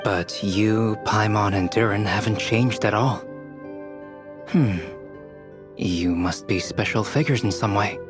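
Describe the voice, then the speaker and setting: A calm young alchemist in a video game. He speaks in a lowish voice, measured and articulate, as if working through a problem. Music is clearly audible behind him. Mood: analytical. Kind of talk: video game character acting.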